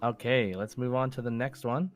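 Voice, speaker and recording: A man's voice: mid-range voice, steady tone, moderate pace. Encouraging male quiz host over background music. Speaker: podcast host and quizmaster. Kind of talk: casual quiz show chat.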